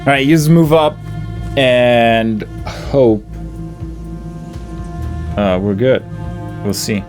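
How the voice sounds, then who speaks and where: A man's voice: mid-range voice, lively intonation, very slow. A man giving casual game commentary, muttering his tactics with dry exasperation. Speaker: gaming video host. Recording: game music behind the voice.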